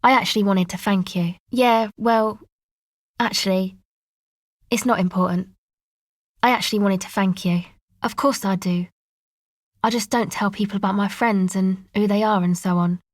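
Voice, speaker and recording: A cool, guarded young woman from a video game, played by an actress, talks with casual, slangy understatement. Her voice sits at an average female pitch and is laid-back and dry in delivery. The recording is close and free of room sound. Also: steady tone, normal speed, long pauses, no echo, lightly acted. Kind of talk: video game dialogue acting.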